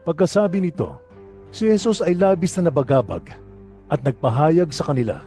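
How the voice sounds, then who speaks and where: A man's voice: a little high, expressive, normal speed, dark tone, faint music behind. A man narrating scripture for an audio drama in a scripted storytelling style.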